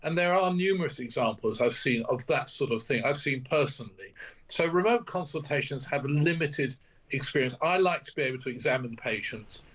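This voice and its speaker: A man's voice: mid-range voice, animated, fairly quick, a bit bright, poor audio. An older British man speaking as a doctor in a broadcast interview, candid and emphatic in a conversational way.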